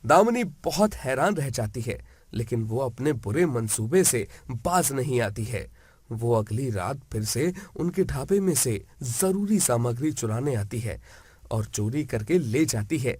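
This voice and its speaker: A man's voice: mid-range voice, lively intonation, measured pace, a little mellow, slightly rough, no room sound. A man reading scripted narration for a children's moral story.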